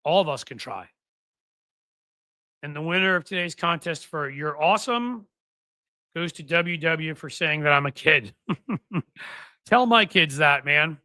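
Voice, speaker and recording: A man's voice: on the high side, expressive, unhurried, pauses often, bright, forceful tone, dry recording. A man in his fifties hosting a political livestream, talking casually to viewers in a rambling way with wry asides. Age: 50s. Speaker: political podcast host.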